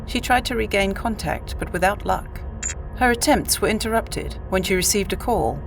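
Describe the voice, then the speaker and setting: A woman's voice: medium pitch, expressive, normal speed, vocal fry, poor audio. A woman reading scripted story narration for an audio play. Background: music.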